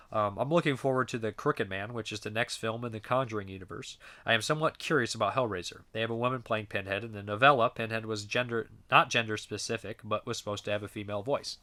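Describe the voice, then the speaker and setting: Guy hosting a movie review podcast, bit hoarse, bright punchy voice, gets loud when he jokes. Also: lowish voice. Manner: animated, swings loud when joking. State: slightly hoarse, losing his voice. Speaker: podcast host. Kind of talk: casual chat, reading listener comments.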